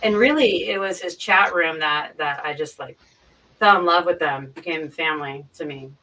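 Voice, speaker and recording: Bright, lowish-voiced woman, a bit distant. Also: moderate pace, a little hiss or hum. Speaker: guest on a live stream, stream producer. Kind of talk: casual chat, explaining and recounting.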